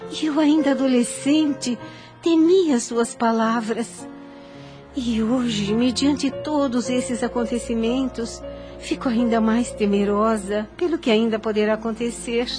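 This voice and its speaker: A woman's voice: fairly high pitch, fairly even intonation, a little slow, natural voice. A frail young mother in anguish speaks in formal, old-fashioned Brazilian Portuguese phrasing, acted by a woman. It is earnest radio soap melodrama, with music faintly underneath. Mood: sorrowful, weary. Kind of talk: radio soap opera acting.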